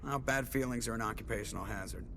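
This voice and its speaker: A man's voice: medium pitch, fast talker, vocal fry, pushed and bright, slight background noise. An actor playing a sarcastic, cocky and suspicious male spaceship pilot in a video game cutscene. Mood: sardonic. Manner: sardonic, wisecracking.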